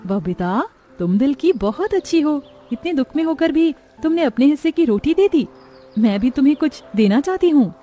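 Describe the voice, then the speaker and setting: A woman voicing a kind magical fairy, gentle and gracious, in a moral-story cartoon. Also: high-pitched, lively intonation, moderate pace, soft background music. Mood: benevolent.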